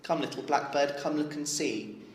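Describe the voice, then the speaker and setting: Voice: medium pitch, normal speed, lightly acted. An actor playing an earnest, bookish and stubborn young man, naive and a little defensive, in an audio drama. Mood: singsong. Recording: echoey, noisy ambience.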